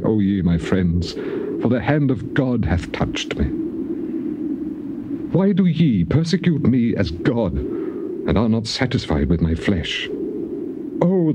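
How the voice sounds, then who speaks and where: A man's voice: medium pitch, lo-fi sound, close to an everyday voice. A man playing an afflicted, anguished man in a dramatized scripture reading, declaiming with solemn passion against his friends. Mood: solemn conviction. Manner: declamatory, impassioned, solemn archaic delivery. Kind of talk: dramatized King James Bible reading, theatrical. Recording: old noisy cassette tape transfer.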